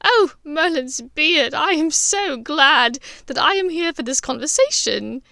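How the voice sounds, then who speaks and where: A mischievous witch aunt in an audiobook fantasy, performed by a woman. She is high-voiced, exclamatory and full of teasing glee, recorded close and dry. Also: lively intonation, measured pace, creaky, sharp tone, no echo, heavily acted. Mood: gleeful.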